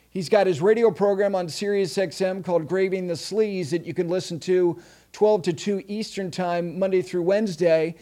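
High-pitched male interview host, emphatic delivery, halting restarts. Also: darkish tone. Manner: emphatic, halting restarts when asking questions. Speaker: podcast host. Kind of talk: interview hosting.

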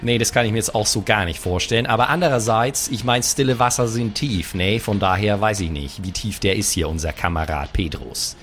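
A man's voice: lowish voice, a little fast, few pauses, fairly bright tone, lightly acted. A man voicing a grumbling older male pirate who complains in a folksy way. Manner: grumbly, folksy, keeps saying "Mensch".

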